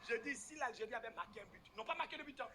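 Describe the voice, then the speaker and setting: A man doing animated, exclamatory stand-up comedy, teasing a live audience from the stage. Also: very high voice, rapid-fire. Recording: noisy live stage recording with ambience.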